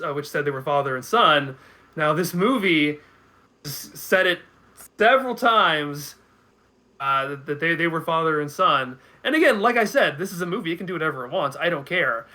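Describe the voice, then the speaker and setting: A man in his thirties with a bright, forward voice pitched a little high. He speaks as a guest in a casual podcast chat, hesitating and repeating words as he thinks aloud. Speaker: guest commentator.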